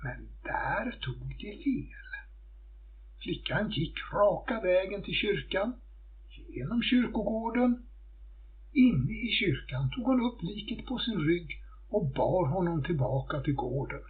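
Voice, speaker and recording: A man's voice: high-pitched, lively intonation, slightly rough. A man reading a scripted folktale as a storyteller. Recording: muffled phone-like audio.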